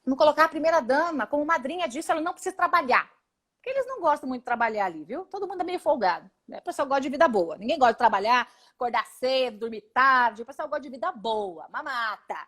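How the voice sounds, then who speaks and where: High-voiced, emphatic Brazilian woman. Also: big pitch swings, a bit bright. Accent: Brazilian Portuguese. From Paraná, Brazil. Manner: emphatic, indignant, speaks in rapid lists. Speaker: congresswoman guest. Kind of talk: live video interview. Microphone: video call.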